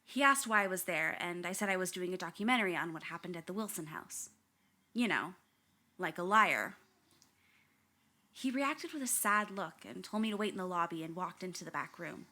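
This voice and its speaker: An actress playing an earnest young documentary narrator, confiding and grave with a wry edge, in scripted first-person storytelling. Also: female voice, on the low side, lively intonation, moderate pace, pushed and bright.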